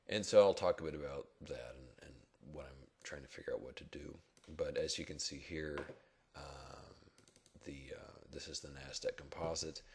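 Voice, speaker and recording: A man with an extremely low voice, full of creak and still rough from early morning. He talks casually through market charts as a blogger would, pausing and reflecting as he thinks aloud. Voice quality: rough, gravelly, husky. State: rough early-morning voice, not yet warmed up. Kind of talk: casual unscripted market commentary over charts.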